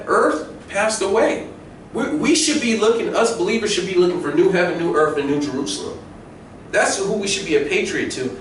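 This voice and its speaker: An American man preaching an informal sermon, reading scripture and commenting on it in an emphatic, colloquial way with repeated phrases. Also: sits high. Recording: very echoey room, distant mic, faint noise.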